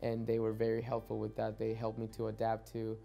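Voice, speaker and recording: Young male soccer player from Mexico, Spanish first language, dark even voice, faint music underneath. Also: on the low side, fairly quick, creaky. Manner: reflective, repeats words as he restarts. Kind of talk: documentary interview.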